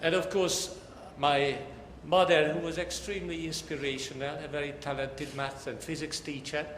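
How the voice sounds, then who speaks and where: An older man from Hungary with a Hungarian accent gives a formal academic lecture as a professor, in measured phrases that often pause mid-sentence. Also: on the high side, flat delivery, fairly bright tone. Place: large lecture hall, talking to an audience. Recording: echoey lecture hall with background noise.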